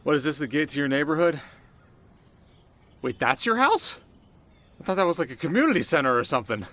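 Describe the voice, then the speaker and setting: A man's voice: a little high, sing-song, brisk, bright, forceful tone, heavily acted. A man playing a cocky, teasing delinquent teenage boy in an ASMR roleplay, talking casually and wryly straight to the listener. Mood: awed, nervous. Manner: casual, wry, sarcastic, sometimes flustered. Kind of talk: ASMR audio roleplay, one-sided dialogue to listener. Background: music.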